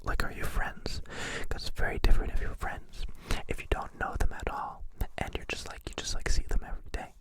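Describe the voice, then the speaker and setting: A young man hosting an ASMR livestream in a close-miked whisper, chatting playfully and joking with viewers. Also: a little fast. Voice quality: raspy when soft speaking. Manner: playful, joking, answering chat questions.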